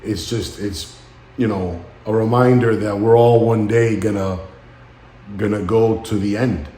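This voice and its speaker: A man in his late thirties speaking in a halting, somber and introspective way, as in a personal vlog monologue. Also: fairly low pitch, steady tone, dark tone, roomy sound. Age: late 30s. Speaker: vlogger.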